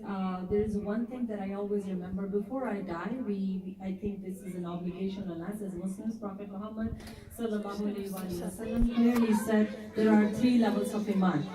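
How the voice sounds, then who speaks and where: A middle-aged woman whose first language is Urdu, giving an earnest talk to a women's gathering and often adding "right?". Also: mid-range voice. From Canada resident. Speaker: community activist speaker. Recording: echoey hall, voice from far off.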